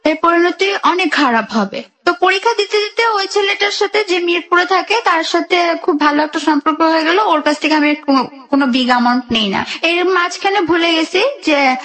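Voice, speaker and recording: A young woman with a high voice and a Bangladeshi accent. She speaks as a guest recounting her own life in a radio interview, going through it in detail and acting out what people said to each other. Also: brisk, fairly bright tone. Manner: narrates in detail, re-enacting conversations. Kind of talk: personal storytelling in a radio interview.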